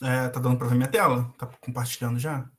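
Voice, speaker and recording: A young man with a Brazilian accent, giving a guest lecture over a video call and pausing often on fillers and restarts. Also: medium pitch, steady tone, a bit bright. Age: young adult. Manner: hesitant, frequent filler "é" and restarts. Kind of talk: casual online lecture.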